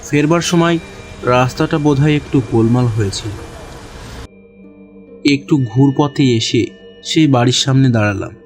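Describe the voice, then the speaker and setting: A man narrating a horror story in the first person with a deliberate, suspenseful, literary delivery. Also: mid-range voice. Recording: music behind the voice.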